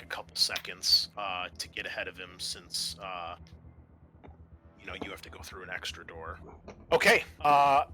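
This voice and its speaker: A man working as game master of a tabletop roleplaying game, narrating in a conversational, halting way. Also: fairly low pitch, sharp tone.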